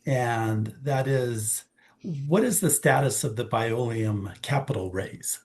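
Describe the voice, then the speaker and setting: A man moderating an investor Q&A, reading out questions in a measured, businesslike way. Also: medium pitch, darkish tone.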